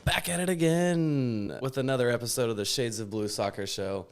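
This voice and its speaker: A man's voice: medium pitch, normal speed, clear, resonant tone, soft background music. A man hosting a podcast, chatting casually about sport. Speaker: podcast host. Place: in person around a table with several mics.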